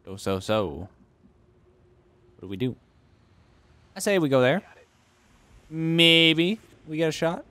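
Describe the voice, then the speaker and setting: A man's voice: on the high side, sing-song, slow, pauses often, sharp tone, no room sound. A young man commenting casually on a strategy game he is playing, joking around and arguing with himself. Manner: goofy, argues with himself in silly voices. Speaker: let's play host.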